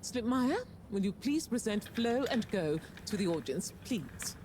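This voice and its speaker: A woman plays a formal, brisk corporate chief in a video game. Her pitch moves a lot as she announces. A faint hiss sits behind her. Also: expressive, darkish tone, close to an everyday voice. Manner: formal, curt. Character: brisk female corporate CEO host.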